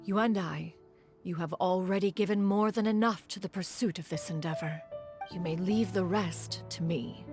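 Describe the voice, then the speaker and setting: A woman's voice: on the low side, normal speed, darkish tone, faint music behind, close to an everyday voice. Dignified, proud immortal woman played by an actress. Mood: reassuring, confident. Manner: formal, archaic, self-assured, calls herself "one". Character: dignified, proud immortal female adeptus inventor. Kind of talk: video game character acting.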